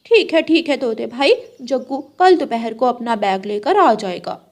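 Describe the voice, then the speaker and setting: A woman voicing a scheming, dismissive mother crow in a cartoon story, coaxing and brisk. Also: fairly high pitch, animated. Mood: agreeable.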